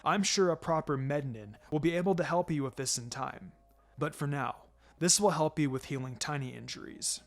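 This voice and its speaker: A man voicing a calm, firm ninja mentor in a fan fiction reading, encouraging and dryly teasing in a measured, instructive way. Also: fairly high pitch, creaky. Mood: calm instruction. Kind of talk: fan fiction character dialogue.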